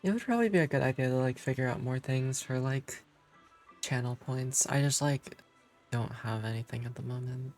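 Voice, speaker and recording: A man's voice: mid-range voice, moderate pace, a little mellow. A man live streaming a game and chatting casually with viewers in a hesitant, rambling way. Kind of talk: casual chat with viewers while gaming. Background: game music.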